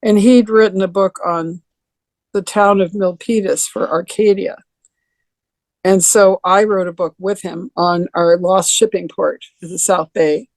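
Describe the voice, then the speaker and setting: Older woman, deeper voice, rambling video-call interview guest, dry close sound. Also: a little mellow, no echo. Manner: rambling, many restarts and ums. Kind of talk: relaxed reminiscing over a video call.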